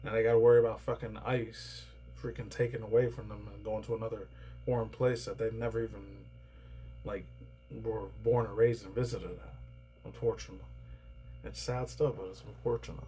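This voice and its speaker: A man rambling through casual news commentary, swearing often and laughing between remarks, his voice slightly raspy and tired. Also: on the low side, level tone, brisk, mellow, soft background music, rough recording. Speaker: commentary YouTuber.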